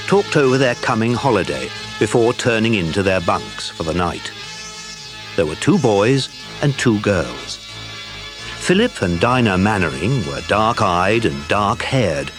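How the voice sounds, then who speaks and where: A man with a British accent narrating a scripted children's adventure story. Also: on the low side.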